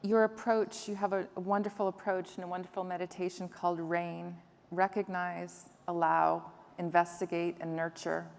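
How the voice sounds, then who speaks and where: Lady interviewer w lots of vocal fry, lowish voice, chatty questions in a roomy echoing hall. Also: flat delivery, normal speed, few pauses, a little mellow. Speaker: interviewer, event moderator. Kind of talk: conversational questioning on stage. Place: event stage in a hall. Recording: echoey hall, faint background noise.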